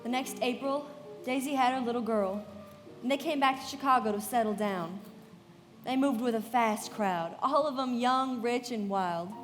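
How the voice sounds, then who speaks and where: A woman playing a poised young society woman who tells a story in measured, confiding narration in a live radio play, in a reverberant hall with music behind. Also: fairly high pitch, close to an everyday voice. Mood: concerned, recounting.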